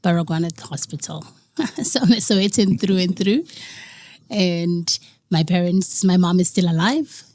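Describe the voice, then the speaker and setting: A woman's voice: fairly low pitch, a little slow, darkish tone. A young South African woman telling a personal story in an interview, earnest, slipping Zulu phrases into her English. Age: young adult. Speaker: guest.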